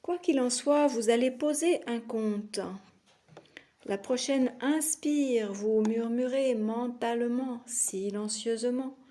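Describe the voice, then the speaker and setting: A woman teaching yoga nidra, guiding a meditation in a soothing, unhurried manner with long pauses between short phrases. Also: fairly high pitch, dark tone. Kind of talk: guided relaxation meditation.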